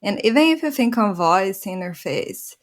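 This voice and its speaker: A woman's voice: mid-range voice, very animated, normal speed. A woman from Brazil with a Brazilian accent, speaking earnestly as a guest on a design podcast and laughing often. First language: Portuguese. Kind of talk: conversational podcast interview.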